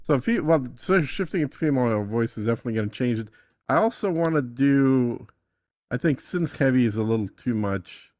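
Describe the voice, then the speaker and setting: A man's voice: mid-range voice, lively intonation, brisk, a little mellow, no echo, clean studio sound. A man giving a casual, conversational tutorial as a guest, thinking aloud in an easygoing way. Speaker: guest demonstrating an AI music tool.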